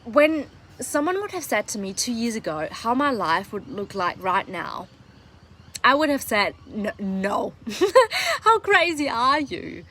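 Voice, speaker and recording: A woman's voice: on the high side, big pitch swings, slow, sharp tone. A young woman, a native German speaker, talking in English as a podcast coach in an earnest, enthusiastic live monologue with many fillers.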